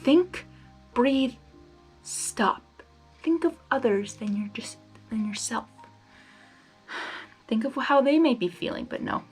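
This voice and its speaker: High, animated woman reading a story. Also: slow pace, pauses often, slightly rough, faint music behind, poor audio. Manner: expressive, exasperated asides to characters. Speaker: fan fiction reader. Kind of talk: story narration with reactive casual commentary.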